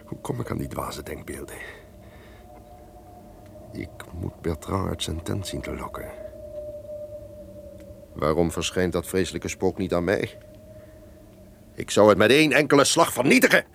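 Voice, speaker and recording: An actor playing a guilt-ridden, suspicious castle lord, theatrical and declamatory, in an old-fashioned radio drama. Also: male voice, deep voice, animated, unhurried, gravelly, pauses often, heavily acted. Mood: brooding, scheming. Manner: theatrical, declamatory, swinging from barking orders to brooding. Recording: noisy old radio play recording.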